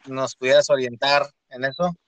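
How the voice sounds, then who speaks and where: A man's voice: medium pitch, normal speed, dry recording. Casual male podcast host, dry sound. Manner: casual, hesitant, short prompts.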